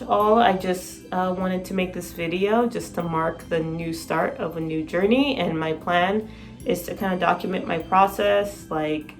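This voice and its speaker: A sleep-deprived woman with a raspy voice, chatting excitedly in a casual vlog. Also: on the low side. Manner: excited, rambling, laughs often. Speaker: vlogger.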